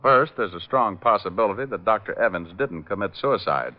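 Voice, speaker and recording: An actor playing a cool, wry private detective in an old radio drama, with a casual deadpan delivery. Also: male voice, fairly low pitch, brisk, lightly acted. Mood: businesslike. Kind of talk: 1940s-50s radio detective acting. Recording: dull old-time radio recording.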